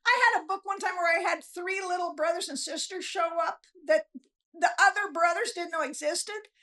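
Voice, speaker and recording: Casting: female author guest, very high and bright voice, casual animated storyteller. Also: normal speed. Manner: animated storyteller, acts out bits of dialogue. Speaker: guest novelist. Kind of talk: casual interview chat.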